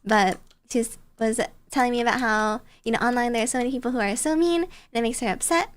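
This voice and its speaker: A woman's voice: fairly high pitch, fast talker. A woman streamer telling stories casually in a bubbly, playful, cutesy way. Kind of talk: casual storytelling chat on a livestream.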